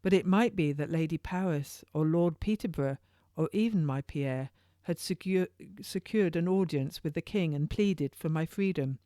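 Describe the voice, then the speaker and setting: A woman's voice: low-pitched, moderate pace, hardly any pauses, mellow, no room sound, clean studio sound. A woman author reading her own historical novel as first-person narration, in an informal read-aloud way with occasional stumbles.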